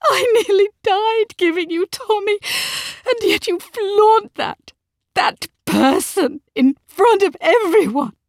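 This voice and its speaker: An anguished upper-class wife as a female narrator plays her, shrill and trembling. Her high voice swings widely in overwrought, emphatic appeals. It is storybook melodrama, recorded close and dry. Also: expressive, slow pace, no room sound, heavily acted. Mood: sobbing, pleading. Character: anguished aristocratic mother, outraged and pleading wife. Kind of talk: audiobook character acting.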